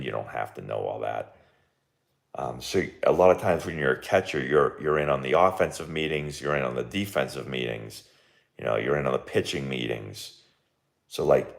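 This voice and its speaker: A man, hoarse and losing his voice, chatting casually on a live stream about the game, rambling with lots of fillers and restarts. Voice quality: very deep and gravelly. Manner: rambling, filler-heavy with many likes and restarts. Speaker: baseball coach streaming live.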